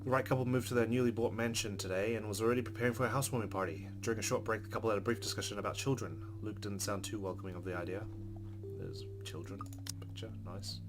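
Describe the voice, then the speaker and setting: A man reading a visual novel aloud, with wry asides. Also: lowish voice. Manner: wry asides between narration. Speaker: game streamer.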